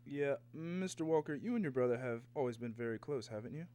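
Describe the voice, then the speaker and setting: An actor playing a businesslike government agent, questioning formally in a 1950s radio drama style. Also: male voice, medium pitch, lively intonation, fast, warm tone, smooth, close to an everyday voice. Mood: formal, reserved.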